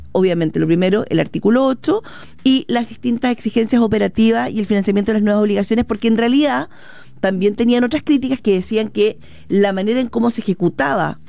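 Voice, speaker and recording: Chilean woman news co-host, gravelly, mellow tone, dry sound, lots of fillers. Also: mid-range voice. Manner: explanatory, with many fillers. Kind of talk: radio news chat.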